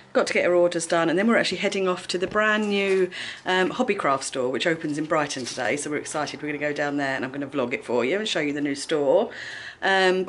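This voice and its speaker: Brief: English woman, fairly low voice, upbeat craft vlogger, faint hiss behind. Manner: chatty, upbeat, lots of fillers. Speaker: vlogger, craft shop owner. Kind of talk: casual vlog chat. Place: craft studio and shops.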